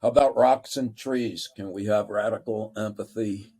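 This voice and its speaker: A man moderating a panel, posing questions in a measured way. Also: lowish voice, fairly even intonation, warm tone.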